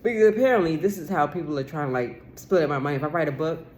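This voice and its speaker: A woman's voice: low-pitched, expressive, racing, darkish tone, a little hiss or hum. Rambling, aggrieved woman in her forties. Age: 40s. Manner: rambling and aggrieved, with many restarts and fillers; swears casually and repeats herself insistently. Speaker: vlogger. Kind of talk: rambling solo vlog monologue.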